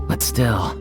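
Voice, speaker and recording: A man playing an earnest, humble young theater troupe member in a video game, speaking deferentially. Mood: somber. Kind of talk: video game character acting.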